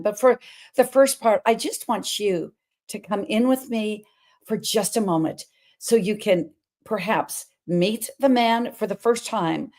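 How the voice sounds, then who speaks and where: A middle-aged woman hosting her own show, talking in a big, emphatic voice and drifting from one topic to the next. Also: medium pitch. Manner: energetic, emphatic, wandering between topics. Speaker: host. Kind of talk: personal talk-show monologue.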